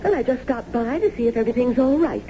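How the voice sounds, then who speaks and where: A woman's voice: on the high side, lively intonation, fast talker, dark tone, lightly acted. An actress playing a genteel, eccentric lady landowner in a radio drama, speaking with effusive, old-fashioned formality. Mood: gracious, effusive. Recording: dull, noisy old radio broadcast.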